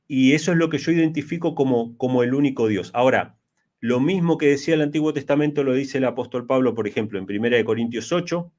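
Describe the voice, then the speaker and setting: A man in his early forties speaks as an interview guest on religion, with a mid-pitched voice. He explains point by point, repeating key phrases for emphasis and posing rhetorical questions. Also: a little mellow. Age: early 40s. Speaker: interviewee, Bible teacher.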